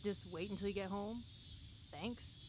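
A woman's voice: medium pitch, a little slow, noisy background, lightly acted. An actress playing an exasperated, snarky young woman at a summer camp in an audio drama. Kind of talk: naturalistic audio drama dialogue.

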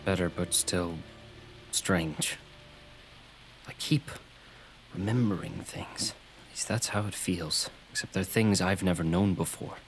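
A man's voice: sits low, unhurried, long pauses, soft background music, low-quality recording. An actor in a radio drama playing a troubled, brooding man haunted by visions of cosmic horror. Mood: uneasy, weary.

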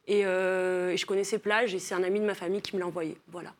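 A woman's voice: fairly low pitch, fairly even intonation, fast talker. A woman from Marseille, a philosopher and author, explaining her ideas as a talk show guest with many hesitations. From Marseille, France. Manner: thoughtful, many hesitations with euh. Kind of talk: studio interview.

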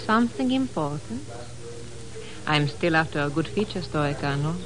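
Female reporter for vintage radio: poised, lowish, animated, music playing behind. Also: normal speed. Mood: coy, probing. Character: cool, probing female magazine reporter. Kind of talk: 1950s radio drama acting.

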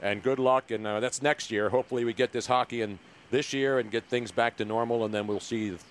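A man's voice: medium pitch, fairly even intonation, brisk, a little hiss or hum. A middle-aged or older American man hosting a casual sports podcast in a brash, slangy, bantering way. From Philadelphia, USA. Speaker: podcast host.

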